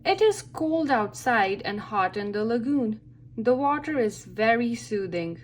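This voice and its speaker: Slow, lively woman reading to children. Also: a little high, a little hiss or hum. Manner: expressive, deliberate phrasing for young listeners. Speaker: read-aloud storyteller for children. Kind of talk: children's picture book read-aloud.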